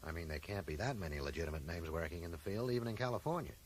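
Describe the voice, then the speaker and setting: A man's voice: deep voice, very fast, close to an everyday voice. An actor in a radio drama playing a polite but persistent male investigator, probing and apologetic yet insistent. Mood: pressing. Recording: noisy 1980s radio drama broadcast.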